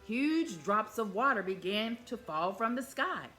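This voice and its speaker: Lively, bright-voiced woman storyteller. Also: mid-range voice, a little slow, faint music behind. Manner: expressive, animated. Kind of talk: reading a children's picture book aloud.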